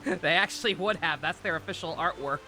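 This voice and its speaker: A man's voice: a little high, brisk, poor audio. A man streaming a game and giving casual live reaction commentary. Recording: game music behind the voice.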